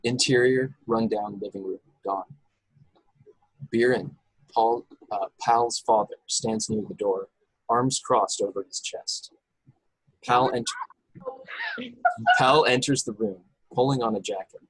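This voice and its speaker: A man's voice: lowish voice, slow pace, pauses often, a bit bright, slightly rough, no room sound, poor audio. A man reading screenplay action and scene headings as the narrator of an informal table read. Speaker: narrator reading stage directions.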